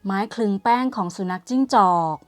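A woman's voice: fairly quick, a little mellow, no room sound. A woman storyteller reading a scripted bedtime tale for children. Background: music.

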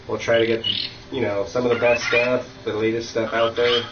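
A man's voice: mid-range voice, flat delivery, normal speed, heavy background noise. Sniffly man streaming from a roomy home. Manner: rambling, filler-heavy, easygoing. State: has a cold, sniffly. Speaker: game streamer. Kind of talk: casual live-stream chat while playing. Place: room at home. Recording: distant, roomy, dull lo-fi mic.

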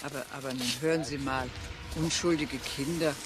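An actress playing an excitable, easily indignant camerawoman in a radio drama, stammering in agitation. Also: a bass voice, moderate pace, over music. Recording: noisy outdoor roadside scene.

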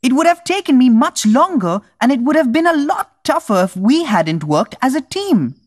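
Casting: sweet little cartoon fruit, highish voice, polite, earnest, swinging intonation. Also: female voice, big pitch swings, moderate pace. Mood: grateful. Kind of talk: animated children's cartoon dialogue.

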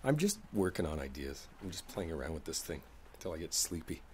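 A man playing a gentle, reassuring boyfriend in an intimate ASMR roleplay, speaking softly and without hurry. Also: extremely low pitch. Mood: soothing, coaxing. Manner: soft, unhurried, soothing. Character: gentle, reassuring musician boyfriend coaxing partner to sleep. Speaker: roleplay audio performer. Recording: steady background noise, rain sounds. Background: rain ambience.

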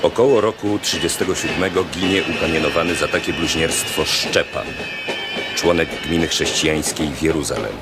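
Deep-voiced grave male narrator. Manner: measured, grave. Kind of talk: scripted historical narration. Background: music.

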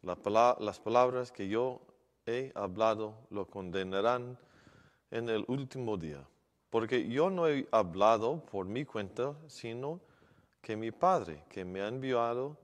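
A middle-aged priest reads the Gospel at a church lectern in a measured, steady manner. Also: male voice, on the low side. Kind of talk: reading the Gospel at Mass. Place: large church, at a wooden lectern. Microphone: lectern microphone. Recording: long church reverb.

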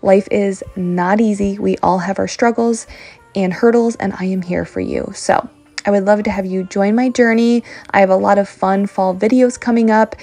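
Upbeat woman with a low gravelly voice. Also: fairly even intonation, moderate pace, dark tone. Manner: upbeat, encouraging, chatty. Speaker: vlogger. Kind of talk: casual voice-over narration.

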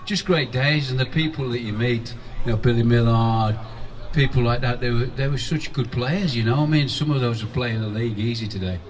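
A man's voice: medium pitch, a little fast. Older man reminiscing outdoors. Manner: rambling, reminiscing. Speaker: former player. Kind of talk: casual interview. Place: outdoors at a football ground. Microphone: handheld mic held by interviewer. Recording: distant mic, faint outdoor noise.

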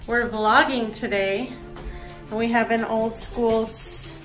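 A woman's voice: on the high side, steady tone, slow, fairly bright tone. Gushing woman vlogger, faint music behind. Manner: gushing, addresses viewers directly. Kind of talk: casual vlog narration to camera. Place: outdoors at a campground and inside cabins. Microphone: handheld phone at arm's length.

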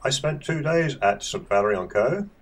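A man narrating a home video of his sailing cruise in a plain, measured, matter-of-fact way. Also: medium pitch, expressive. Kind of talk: calm voice-over narration.

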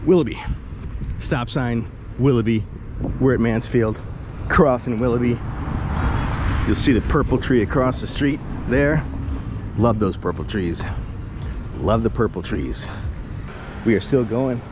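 A man's voice: mid-range voice, measured pace, pauses often. Man, 40s, rambling vlog talk, action cam at arm's length, outdoor street noise. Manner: rambling, jokey, chatty self-talk. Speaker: vlogger. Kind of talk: casual walking tour vlog. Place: outdoors on a sunny residential street. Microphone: action camera held at arm's length. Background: street ambience and passing cars.

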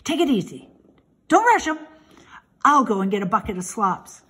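A woman voicing a calm, sly male farmer in a children's storybook, coaxing and calling out simple instructions. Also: on the high side, moderate pace, slightly rough, heavily acted. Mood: calm, directing.

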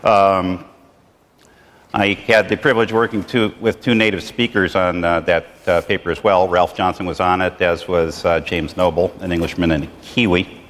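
A middle-aged man with a fairly low voice gives a loose, rambling conference lecture, leaning on "you know" as he goes. He is recorded in a lecture hall, and his voice trails off into a long reverb. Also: flat delivery, moderate pace, a bit bright, a little hiss or hum. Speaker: conference speaker. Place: lecture hall with projected slides.

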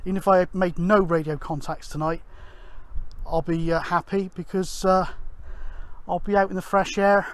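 A middle-aged man gives casual outdoor vlog commentary in a rambling, halting way, thinking aloud. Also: high-pitched, level tone, no echo. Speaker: amateur radio vlogger, presenter. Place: outdoors on an open grassy hillside.

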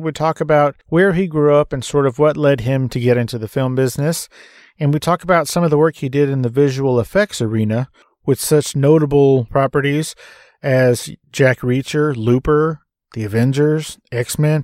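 A man's voice: fairly high pitch, darkish tone, no echo. A man speaking as a podcast host in a conversational, jokey manner, close on the microphone. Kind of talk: interview and scripted episode introduction.

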